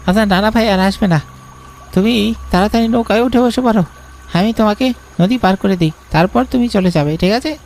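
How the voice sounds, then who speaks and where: A man's voice: sits high, brisk, darkish tone. A man playing a mysterious, knowing village boatman in a cartoon horror story, speaking with solemn urgency. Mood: urgent warning.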